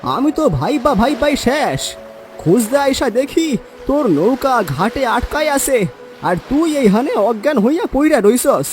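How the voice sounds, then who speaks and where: A man playing an emotional, grieving village man in an audio horror story, with a rural Bangladeshi accent and drawn-out, lamenting delivery. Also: extremely high pitch, big pitch swings, soft background music, exaggerated voice. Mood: worried. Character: grieving, emotional village man mourning his drowned friend.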